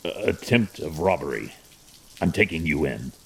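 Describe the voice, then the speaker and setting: A man playing a grim, menacing masked vigilante in an audio drama, terse and stern, with a gravelly, husky voice. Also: very deep voice, animated, normal speed, heavily acted.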